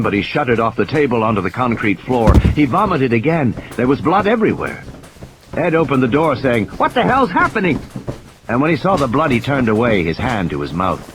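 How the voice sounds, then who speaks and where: A man's voice: medium pitch, low-quality recording. A man reading scripted dramatic narration as the storyteller of an audiobook. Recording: clear background hiss and noise.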